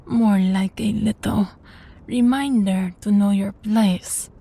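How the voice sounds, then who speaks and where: A woman playing a sadistic, possessive vampire master in an intimate audio roleplay, teasing and imperious, with a breathy, husky voice. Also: mid-range voice, fairly even intonation, mellow. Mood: taunting, amused. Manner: slow, taunting, imperious, with breathy whispers.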